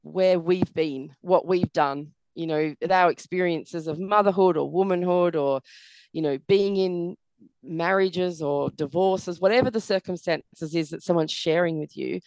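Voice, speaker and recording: Middle-aged woman guest, croaky and tired, earnest and reflective, lowish voice. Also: unhurried, a little mellow, no echo, low-quality recording. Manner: earnest, many "you know" fillers. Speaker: podcast guest. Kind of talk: reflective interview conversation.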